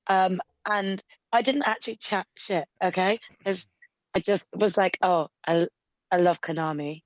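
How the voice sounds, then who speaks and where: A woman co-hosting a wrestling podcast, slightly hoarse from a lost voice, chatting and telling a story. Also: fairly low pitch, fairly even intonation, unhurried, no echo. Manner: chatty storyteller, laughs through her tale. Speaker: podcast co-host. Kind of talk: casual chat. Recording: dull lo-fi mic.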